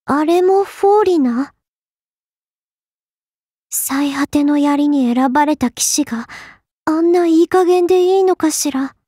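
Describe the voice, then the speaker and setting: A young goddess swordswoman for an anime game, high-voiced and unhurried. She is cool and proud, slipping into muttered, flustered asides. The sound is dry and studio-close. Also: mellow, no echo. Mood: dignified. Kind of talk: anime game character voice lines.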